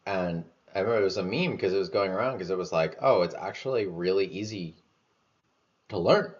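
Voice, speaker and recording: A man with a very low voice muses out loud in a relaxed, rambling flow, packing his talk with fillers and a casual curse now and then. The sound is dull and lo-fi. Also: fairly quick. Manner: loose, filler-heavy, swears casually. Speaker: vlogger.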